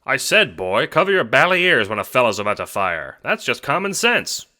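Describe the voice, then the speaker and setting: A man voicing a hearty, eccentric British gentleman explorer with pompous, old-fashioned exclamations in an audio drama. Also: medium pitch, big pitch swings, moderate pace, pitched above the performer's own voice. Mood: scolding.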